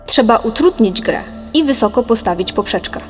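A woman reading a scripted philosophical audiobook in a measured, didactic manner. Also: on the high side, lively intonation, lightly acted. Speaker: audiobook narrator. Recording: long reverb, music behind. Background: music.

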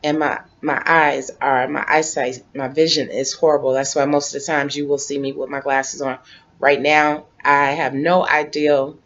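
A woman in her late forties chatting casually to camera from her living room couch, relaxed and rambling, repeating herself as she talks. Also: low voice. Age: 40s. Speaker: vlogger. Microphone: webcam. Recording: dull lo-fi webcam audio.

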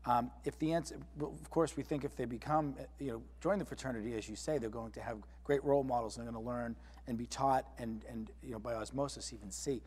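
A man moderates a panel discussion, putting questions to his guests in a conversational way. His voice is husky and somewhat breathy, with a long studio reverb trailing behind it. He speaks at a middle pitch. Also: fairly even intonation, fast, few pauses, darkish tone.

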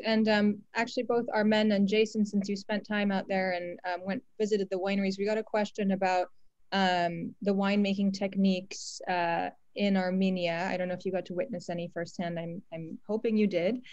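A woman hosts a panel over dry, slightly lo-fi call audio. She moderates conversationally, in an appreciative and encouraging manner. Her voice is of medium pitch. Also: a little mellow, no room sound. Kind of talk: conversational hosting, relaying audience questions. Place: remote virtual panel call.

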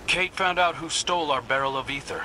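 A man's voice: fairly high pitch, fairly quick, slight background noise, poor audio. An actor playing an earnest, dryly teasing young male scout in a video game. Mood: businesslike. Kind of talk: scripted game dialogue. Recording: loud music and game sounds behind.

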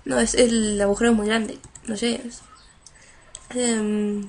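A woman's voice: fairly high pitch, little pitch movement, slow, darkish tone, a little hiss or hum. Chatty young woman gamer. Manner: chatty, translating on the fly with many fillers. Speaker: gameplay streamer. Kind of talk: playing and reading game dialogue aloud. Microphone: headset.

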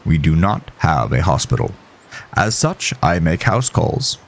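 A man voicing a gruff, stern, impatient small-town doctor with formal, precise speech in an audio horror story. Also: extremely low pitch, big pitch swings, a little slow, heavily acted. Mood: formal, measured.

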